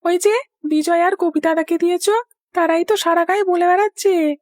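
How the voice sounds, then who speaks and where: A woman's voice: very high voice, steady tone, a little fast, a little mellow, no room sound. A woman voicing a cheeky little village boy in a cartoon, insistent and complaining. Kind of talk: Bengali cartoon dialogue.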